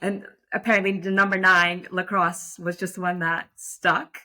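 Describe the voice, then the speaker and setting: A woman's voice: on the low side, level tone, normal speed, bright, forceful tone. A woman from the Netherlands, a lacrosse umpire talking thoughtfully and modestly as an interview guest. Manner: thoughtful, self-deprecating, frequent restarts and fillers. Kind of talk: casual interview conversation.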